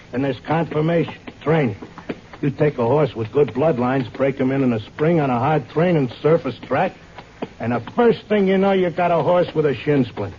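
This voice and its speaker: A man's voice: fairly high pitch, a little hiss or hum, natural voice. An actor playing a stubborn, devoted horse-race handicapper in a vintage radio drama, talking in slangy wise-guy style. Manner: slangy, streetwise Broadway wise-guy talk. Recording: dull old radio recording with music.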